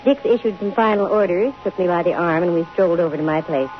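A woman's voice: on the low side, fast talker, over music, lightly acted. An actress playing a wisecracking, self-assured female private detective with dry, sardonic wit and an American accent, in 1950s radio drama style. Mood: dry narrating. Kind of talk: 1950s American radio drama acting with first-person narration. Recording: muffled 1950 radio broadcast, hissy.

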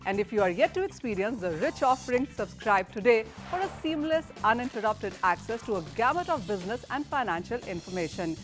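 Female presenter, Indian accent, higher-pitched, scripted promotional read over a music bed. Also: lively intonation, a little fast, hardly any pauses. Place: TV studio. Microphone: lapel mic. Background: background music.

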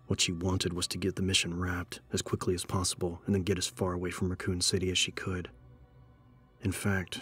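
A man's voice: sits low, a bit bright, breathy edge. A man narrating a horror audiobook in a scripted, dramatic style. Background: background music.

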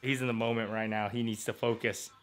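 An American man chatting casually as a vlogger, in an informal, animated and jokey way. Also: on the low side, a little fast, soft background music. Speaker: vlogger, host. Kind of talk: casual vlog chat.

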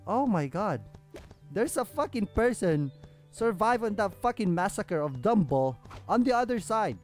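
Higher-voiced man, exasperated gaming commentary. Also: sing-song, measured pace. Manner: swearing, exasperated, sarcastic. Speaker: gaming video host. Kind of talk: casual off-the-cuff gameplay commentary. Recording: music behind the voice.

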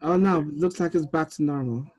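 A man's voice: on the high side, normal speed, darkish tone, husky, no room sound, highs cut off. A man in his thirties speaking casually as he hosts a group video call over an earbud headset in a small room. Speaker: host, film director running a table read.

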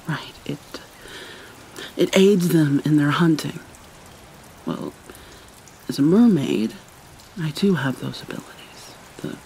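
A woman voicing a guarded, wounded vampire mermaid in an ASMR roleplay, speaking in a hesitant, halting, hushed and often breathy way. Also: low voice, slight background noise, full character voice, poor audio. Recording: rain and water sounds behind. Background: rain and pouring water.

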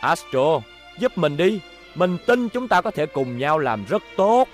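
A man's voice: a little high, sing-song, measured pace, soft background music. A man voicing a vengeful, scheming boy robot villain in a cartoon dub, smug and gloating. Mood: persuasive. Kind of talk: cartoon dubbing.

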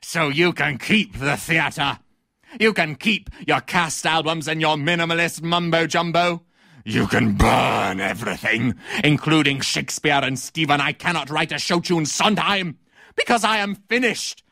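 A man's voice: mid-range voice, lively intonation, unhurried, bright, forceful tone, dry recording, clean studio sound. A man playing a haughty, embittered female theatre critic in a pompous, melodramatic comic stage soliloquy. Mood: ranting, despairing. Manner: pompous, precisely worded, melodramatic. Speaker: actor performing a comic monologue.

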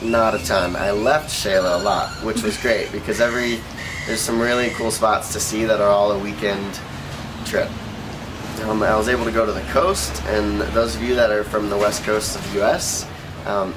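A young man with an American accent speaks casually, as in a relaxed interview. His voice sits fairly low. He is recorded on an open-air terrace, with clearly audible background noise. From Oregon, United States. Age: young adult. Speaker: volunteer being interviewed. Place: outdoor rooftop terrace.

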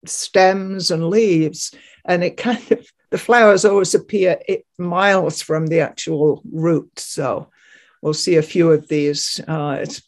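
Slow-talking older woman, informal and hesitant. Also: on the low side, steady tone. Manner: hesitant, chatty, unhurried. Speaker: gardener giving a garden tour. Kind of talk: informal narration of a photo slideshow. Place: at home indoors, on a video call. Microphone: computer microphone on video call.

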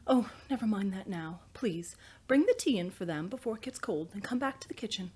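A woman's voice: medium pitch, lively intonation, a little fast, dark tone, breathy edge. A woman voicing a fussy, chiding mother in a historical novel. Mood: hushed chiding. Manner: chiding, exasperated. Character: scolding, fussy Edwardian mother.

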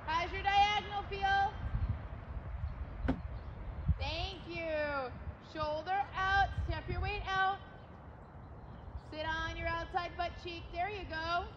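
A woman's voice: very high-pitched, steady tone, slow pace, long pauses. A woman teaching a riding lesson in an outdoor arena, calling out short clipped instructions to her rider. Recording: lo-fi audio with loud outdoor noise.

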